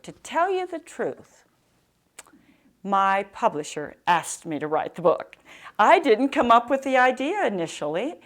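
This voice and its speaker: An older American woman with a loud, projecting voice, giving an author's talk and reading in an emphatic storytelling manner. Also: on the low side. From Nebraska, United States. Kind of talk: public talk with anecdotes and book reading. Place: live talk to a library audience in a room.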